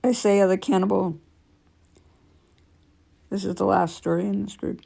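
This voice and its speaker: Female storyteller, scratchy and dark-toned, reading real-life creepy tales with offhand asides. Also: medium pitch, flat delivery, a little slow, gravelly. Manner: stumbles and corrects herself while reading. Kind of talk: reading aloud with casual asides.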